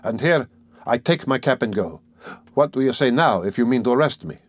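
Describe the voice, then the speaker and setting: A man's voice: mid-range voice, animated, fairly quick, dry recording, close to an everyday voice. Guy doing a haughty young suspect from an old novel, defiant n worked up, super dry recording. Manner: imperious, defiant, agitated. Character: haughty, furious, tormented young man under suspicion. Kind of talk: dramatic audiobook character reading.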